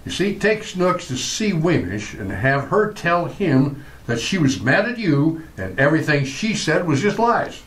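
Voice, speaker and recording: A male actor plays a wry lawyer neighbour in a radio comedy. His voice sits fairly high and moves with lively intonation. He teases and reasons like an amused old friend. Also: measured pace. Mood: wry advising.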